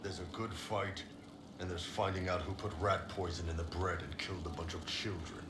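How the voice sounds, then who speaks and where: Man playing a deep-voiced gruff warrior spy. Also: steady tone, moderate pace, natural voice. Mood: wry, weary. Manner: casual, plain-spoken, blunt. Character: big horned warrior spy, gruff, world-weary, easygoing mercenary. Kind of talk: video game dialogue. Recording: roomy echo with clear background noise.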